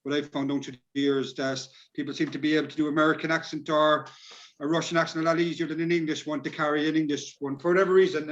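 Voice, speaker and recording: An Irish man speaks quickly in a fairly high voice. He gives informal workshop advice in a rambling, repetitive way, over a slightly dull recording. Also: fairly bright tone. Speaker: drama workshop tutor.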